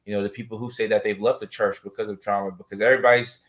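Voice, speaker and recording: A man's voice: fairly low pitch, little pitch movement, very fast, fairly bright tone. A man hosting a live talk in a casual, supportive way, effusive and validating, with some strain in his voice. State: vocal trouble, strained voice. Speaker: host of a live talk show. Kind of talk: casual, supportive interview chat.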